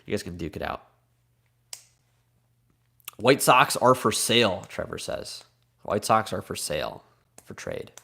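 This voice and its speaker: A slightly low-voiced man with a Midwestern American accent runs a live card break. He is a bit congested from a cold, and he talks in a chatty, upbeat way while reading off names and teams. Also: vocal fry, fairly bright tone.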